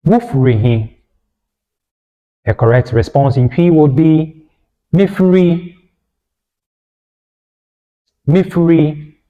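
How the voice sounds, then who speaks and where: A man giving a scripted beginner language lesson as a tutor, in a deliberate instructional manner, repeating phrases. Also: a little high, lo-fi sound. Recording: long hall-like reverb.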